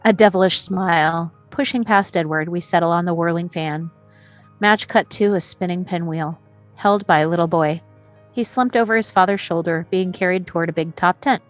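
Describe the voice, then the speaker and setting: A woman's voice: fairly low pitch, moderate pace, soft background music. A woman reading stage directions as the narrator of a screenplay.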